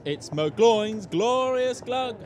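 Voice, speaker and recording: A man's voice: high voice, lively intonation, drawn-out words, slight background noise, heavily acted. An actor playing a brash quack hawker disguised as an old woman, giving showy sales patter in an audio drama. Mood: showy, boastful. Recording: busy outdoor crowd behind.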